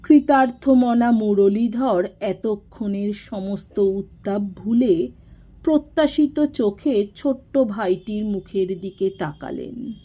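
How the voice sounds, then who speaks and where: Lady narrator reading a classic story, really slow n measured, expressive, bit lo-fi sound. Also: mid-range voice. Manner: measured, dramatic storytelling with acted dialogue. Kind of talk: expressive scripted literary narration.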